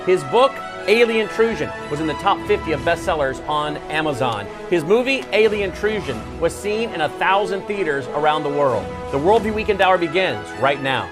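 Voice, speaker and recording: Higher-pitched male talk show host. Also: big pitch swings, moderate pace, few pauses, over music. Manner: friendly, emphatic introductions. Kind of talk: studio talk show hosting. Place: TV studio.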